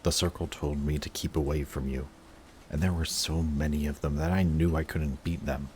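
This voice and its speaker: Very deep-voiced, anguished villain played by a man. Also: steady tone, a little mellow, a little hiss or hum. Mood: pained, confessing. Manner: intense, halting, swearing, defensive. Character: emotional male supervillain confessing to his longtime enemy. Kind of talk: dramatic audio roleplay monologue.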